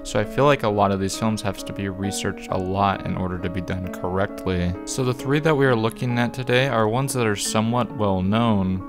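A man narrating a scripted video essay as its presenter. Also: fairly low pitch.

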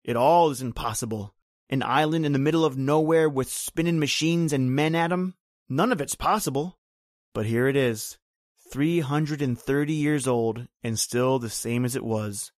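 A man's voice: mid-range voice, moderate pace, no room sound, lightly acted. Man acting grim male islander, bitter storyteller, brooding rhythmic delivery, old-time radio horror, dry close sound. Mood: grim, resigned. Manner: brooding, rhythmic, archaic folksy phrasing.

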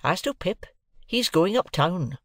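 Peaceable country smith for a classic story, voiced by a narrator: raised pitch, plain words, placating and steady. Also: no echo, clean studio sound. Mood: mild, reasonable. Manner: slow, simple, placating country speech. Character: plain, steady master blacksmith, mild and peaceable husband.

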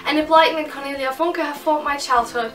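A twenty-one-year-old woman from Germany with a high, bright voice speaks sincerely. She sounds a little distant from the mic. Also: brisk, soft background music.

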